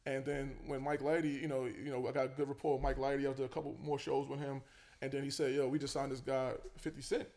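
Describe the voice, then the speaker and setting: A quick-talking man, at an ordinary pitch for a man. He tells his story in a relaxed, casual way, full of fillers and restarts. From Queens, New York. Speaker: college basketball head coach, guest.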